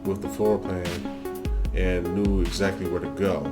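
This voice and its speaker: A man with a fairly low voice reads a mystery script in a measured, even way. Faint music plays under his narration. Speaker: narrator of a played mystery video.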